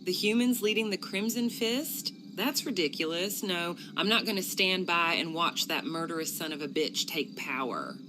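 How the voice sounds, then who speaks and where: A woman's voice: lowish voice, normal speed, hardly any pauses, music in the background, natural voice. A woman playing a hot-tempered, bitter elf archer in a fantasy game cutscene. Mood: furious, accusing. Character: furious, bitter female elf archer inquisitor. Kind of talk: scripted video game cutscene acting.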